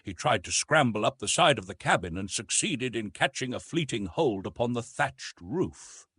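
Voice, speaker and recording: A man narrating a classic adventure novel in an expressive, theatrical storytelling manner. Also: mid-range voice, moderate pace, breathy edge, dry recording. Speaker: audiobook narrator.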